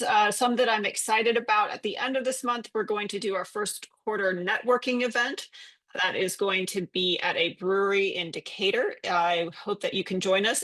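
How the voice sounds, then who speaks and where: A woman's voice: a little high, a bit bright, rough recording. Woman webinar host on a call, friendly and informal, reading out announcements. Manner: friendly, informal, some fillers. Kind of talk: event announcements and introduction.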